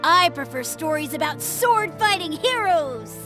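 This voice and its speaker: A woman's voice: sits high, very animated, measured pace, over music. An actress voicing an eager, boastful little boy in a video game, childlike, bouncy and self-important. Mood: chatty, earnest. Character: eager, boastful little boy playing martial arts master. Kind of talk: scripted video game voice acting.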